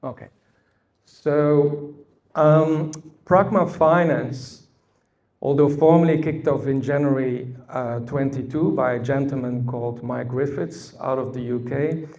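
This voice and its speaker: Belgian man with a gravelly, mellow voice. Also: mid-range voice, fairly even intonation, lo-fi sound. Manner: deliberate, frequent "uh" fillers, storytelling asides. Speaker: conference speaker. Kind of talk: conference workshop talk. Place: conference hall.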